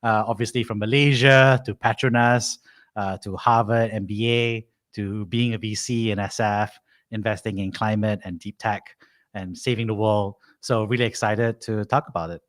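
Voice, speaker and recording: A man in his thirties with a lowish voice hosts a casual podcast. He sounds upbeat and chatty, with easy fillers as he sets up the conversation. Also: steady tone, moderate pace, a bit bright. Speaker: podcast host. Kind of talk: casual interview hosting.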